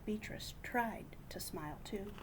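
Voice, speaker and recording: A woman reading a children's picture book aloud in an animated, deliberate way, with dramatic hushes and emphasis. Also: medium pitch, whispered. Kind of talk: expressive read-aloud storytelling.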